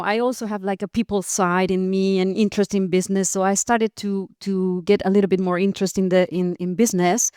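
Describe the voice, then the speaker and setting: A woman's voice: mid-range voice, a little mellow, smooth, no room sound, clean studio sound. A woman from Costa Rica, a podcast guest, telling her career story with frequent fillers, restarts and light laughs. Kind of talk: interview conversation.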